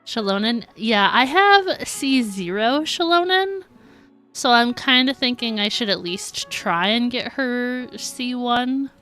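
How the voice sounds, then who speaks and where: A woman's voice: a little high, fairly bright tone, smooth. A woman livestreaming a video game, chatting casually and informally with viewers in a giggly, easygoing way. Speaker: live streamer. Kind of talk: casual gaming livestream chat.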